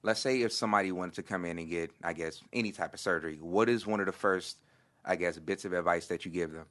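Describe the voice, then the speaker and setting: Low-voiced male podcast host. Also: fast, fairly bright tone. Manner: brief affirmations, easygoing questions. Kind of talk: casual podcast interview.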